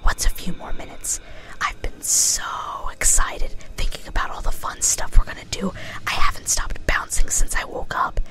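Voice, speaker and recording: A woman's voice: moderate pace, bright, forceful tone, lightly acted, poor audio. Woman as gushing, whispering young pony girl. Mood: excited, gleeful. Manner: bouncy, gushing. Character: gleeful, overexcited young female cartoon pony. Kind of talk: whispered ASMR-style story reading.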